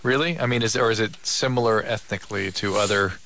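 A man's voice: on the low side, quick pace, sharp tone, slight background noise. A man co-hosting a radio show, asking curious, probing questions in a casual way.

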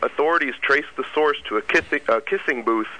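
Male co-host on talk radio; bright voice, upbeat quiz reader. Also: on the high side, brisk, rough recording. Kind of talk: casual radio banter.

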